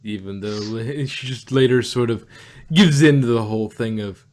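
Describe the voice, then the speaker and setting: A man in mid-range pitch chatting loosely on a review podcast. He rambles, restarts his sentences and breaks into laughter often as he thinks aloud. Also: moderate pace, a little mellow. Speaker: podcast co-host reviewing an audio drama. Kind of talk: casual review chat.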